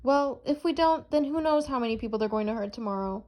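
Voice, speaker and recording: A plucky female newspaper reporter, played by a woman, with a high voice. She speaks earnestly, in the manner of an amateur superhero radio drama. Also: animated, quick pace, darkish tone, close to an everyday voice. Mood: earnest, urgent.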